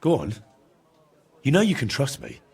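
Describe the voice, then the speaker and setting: Very expressive young medieval adventurer. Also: male voice, medium pitch, moderate pace, husky, heavily acted. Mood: probing. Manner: direct, questioning, expressive. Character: young male medieval adventurer, earnest, probing and trustworthy. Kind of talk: video game dramatic dialogue.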